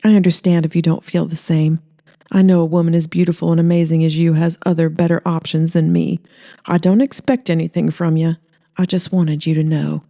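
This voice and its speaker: A woman's voice: low-pitched, level tone, brisk, warm tone, no room sound, studio quality. A woman voicing a shy, smitten young man who talks in an earnest, hesitant way in an audiobook. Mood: earnest, nervous. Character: shy, smitten, nervous young man, trailer-park underdog.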